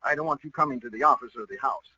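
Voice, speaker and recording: A man's voice: fairly high pitch, rapid-fire, sharp tone. An actor playing a blustery, jolly, pompous lodge leader and businessman in a 1940s radio comedy. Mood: jovial, secretive. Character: blustery, secretive male lodge leader and real-estate boss. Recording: hissy, muffled old radio broadcast.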